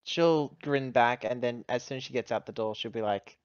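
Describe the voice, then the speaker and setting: A player with a medium-pitched voice narrates a character's actions in a tabletop game. The delivery is casual. The sound is close and dry, a little dull. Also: normal speed, dry recording.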